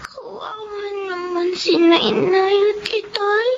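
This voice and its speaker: A woman's voice: very high voice, fairly even intonation, slow pace, highs cut off, a little hiss or hum, exaggerated voice. A tearful sick little girl. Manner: tearful, pleading, polite. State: crying. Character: sick young girl, sad and frightened. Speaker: sick child in radio drama.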